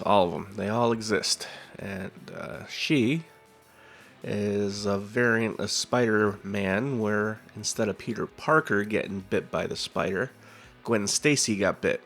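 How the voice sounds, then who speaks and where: A man's voice: lowish voice, measured pace, a bit bright, faint music behind. Stuffy-nosed man rambling while drawing. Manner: rambling, easygoing fan storytelling with fillers. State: nasal from a cold. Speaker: drawing channel host. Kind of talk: casual talk over a drawing video.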